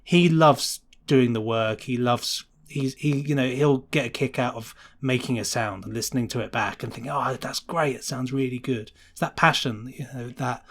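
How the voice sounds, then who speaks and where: A man's voice: mid-range voice, normal speed, few pauses, fairly bright tone. A man talking casually and enthusiastically as the guest on a podcast interview. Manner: enthusiastic, chatty. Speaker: guest sound designer.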